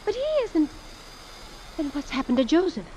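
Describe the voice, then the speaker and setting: Suspicious distraught wife, old radio play, dramatic and insistent, slightly noisy recording. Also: female voice, sits high, lively intonation, normal speed, warm tone. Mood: anxious. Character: distraught, accusing American wife, imperious and suspicious. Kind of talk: 1940s-50s radio drama acting.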